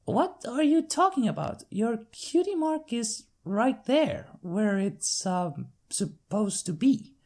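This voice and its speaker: Lady doing a high chatty young pony gal, nosy and bubbly, real animated, cartoon fanfic. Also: slow pace, hardly any pauses. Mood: puzzled. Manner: bubbly, inquisitive. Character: chatty young female cartoon ponies, a curious couple.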